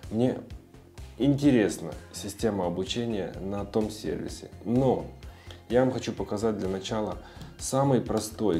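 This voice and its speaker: A man's voice: fairly low pitch, dark tone. A man in his thirties giving a casual, blunt, informal tutorial as a video blogger. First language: Russian. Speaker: video blogger sharing language-learning tips.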